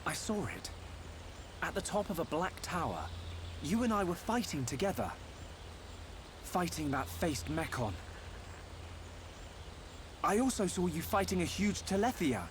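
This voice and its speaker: An actor playing an earnest, gentle young hero in a video game, sincere and a little hesitant. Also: male voice, high voice, sing-song, a little slow, pauses often. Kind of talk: dramatic video game acting. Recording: clear background ambience noise.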